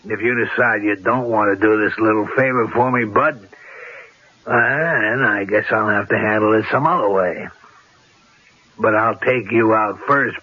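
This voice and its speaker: An actor playing a menacing, scheming crime boss with tough, streetwise talk in a radio drama. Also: male voice, on the low side, slightly rough. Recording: dull old radio broadcast recording.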